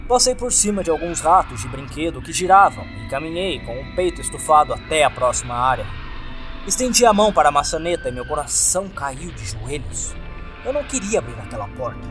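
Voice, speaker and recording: Brazilian man narrating horror, lively intonation. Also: on the high side, fairly quick. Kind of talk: scripted first-person horror narration. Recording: background music under the voice. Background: music.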